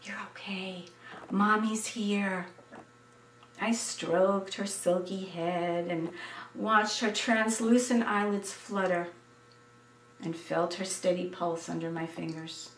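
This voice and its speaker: An American woman in her seventies reading a scripted personal story in an expressive, deliberate way. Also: fairly low pitch, a little hiss or hum. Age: 70s. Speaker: essayist reading her memoir. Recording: roomy, distant microphone.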